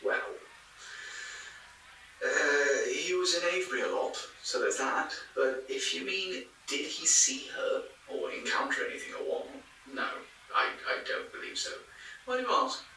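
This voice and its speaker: An actor playing a chatty, skeptical folklore researcher in a podcast drama, rambling and wry with hesitant stammers. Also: male voice, fairly high pitch, expressive, unhurried, bright, forceful tone, far from the mic, full character voice. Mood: reflective, skeptical. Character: chatty, skeptical male folklore researcher musing on old records. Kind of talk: naturalistic interview in a podcast drama. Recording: very echoey room, off-mic, faint noise.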